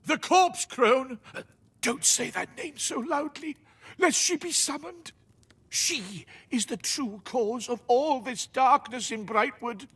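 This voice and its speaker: An actor playing an anxious, guilt-ridden mayor in a video game, fretful and theatrical, with a melodramatic delivery. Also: male voice, very high-pitched, slow, a bit bright, husky, heavily acted. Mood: fearful. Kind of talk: dramatic video game character acting. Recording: game music behind the voice. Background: music.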